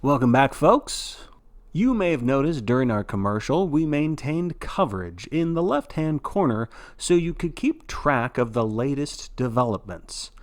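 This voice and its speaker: Man playing a folksy, animated sports broadcaster. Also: medium pitch, unhurried. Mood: blithe, smooth. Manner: folksy, showy, blithely cheerful about grim events. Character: jovial male sports broadcaster of a dystopian death march. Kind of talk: play-by-play sports commentary.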